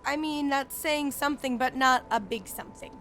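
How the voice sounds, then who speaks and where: A woman's voice: high-pitched, normal speed, fairly bright tone, slight background noise. A woman streaming a video game, chatting casually and playfully as she reacts aloud. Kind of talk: casual live stream gameplay commentary. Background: game music.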